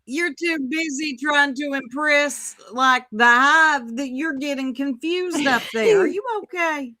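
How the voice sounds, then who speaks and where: A woman's voice: sits high, unhurried, sharp tone, no echo. A woman hosting a recap, commenting on a clip in a teasing, mocking way. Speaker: commentary host.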